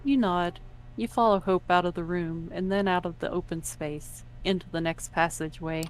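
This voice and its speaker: A woman's voice: fairly low pitch, fairly even intonation, moderate pace, slight background noise, poor audio. A woman reading scripted second-person story narration as the narrator. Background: music under the voice.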